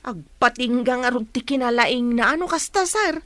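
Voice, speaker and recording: A woman's voice: fairly high pitch, expressive, moderate pace, a bit bright, no room sound. A woman playing a caring household helper in an audio drama, respectful and questioning toward her employer. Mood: concerned. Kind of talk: Ilocano audio drama acting.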